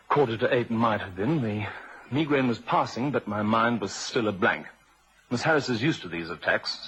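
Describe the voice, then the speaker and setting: An actor playing a shaken, evasive husband under questioning, halting and defensive, in a vintage radio drama on an old recording. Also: male voice, fairly low pitch, a bit bright, breathy edge, rough recording.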